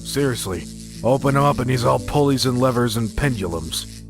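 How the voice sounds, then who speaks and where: A grumpy robot doctor from an audio drama , played by a man. The voice is mid-pitched and full of gravel, with a sardonic bite, over a clear bed of music. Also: animated, moderate pace. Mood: grumbling, sarcastic. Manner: dry, sardonic. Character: grumpy sarcastic male robot ship doctor. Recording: music under the voice.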